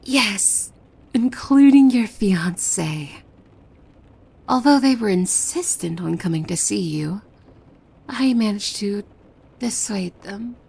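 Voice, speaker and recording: A woman playing a possessive, devoted demon maid in an ASMR roleplay, coy and formal, with a soft breathy voice that often slips into whispers. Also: mid-range voice, animated, takes it slowly, long pauses, a little hiss or hum. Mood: possessive, sly. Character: possessive, devoted young female demon maid, sweetly menacing. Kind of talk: ASMR roleplay, scripted monologue to the listener.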